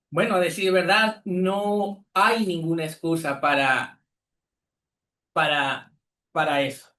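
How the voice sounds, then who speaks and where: A man from Peru, preaching an earnest sermon as pastor with deliberate pauses. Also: fairly high pitch, a bit bright. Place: church. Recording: echoey church hall.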